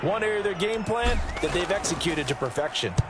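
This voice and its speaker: A man's voice: sits high, expressive, a little fast, very noisy. A man with an American accent giving conversational, explanatory color commentary on a football game. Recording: game audio with loud music underneath.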